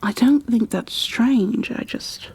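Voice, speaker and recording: A woman playing a gentle, shy, bookish bookkeeper in an ASMR roleplay, soft-spoken and half-whispering, with a hesitant, apologetic way of talking. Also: fairly high pitch, vocal fry, slightly rough, exaggerated voice. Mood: shy, rambling. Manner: hesitant, apologetic, halting, careful. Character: gentle, shy, bookish female creature bookkeeper, peace-loving and kindly.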